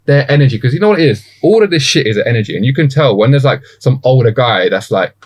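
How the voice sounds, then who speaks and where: Man, twenties, podcast host, rapid-fire, slangy, rambling. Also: medium pitch, lively intonation. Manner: slangy, rambling, interrupts often. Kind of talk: casual podcast conversation.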